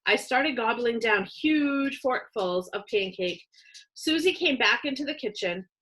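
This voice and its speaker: A woman reads a children's story aloud as its narrator. She speaks slowly and clearly for listeners to follow, with animated, expressive intonation. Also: a little high.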